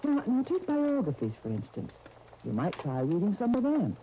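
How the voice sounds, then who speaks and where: An actress playing a gentle, earnest guardian of young twins in a 1930s radio soap opera, speaking tenderly. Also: female voice, medium pitch, wildly swooping pitch, normal speed. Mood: gentle, persuasive. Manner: tender, earnest, uses endearments. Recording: muffled, noisy 1939 radio disc recording.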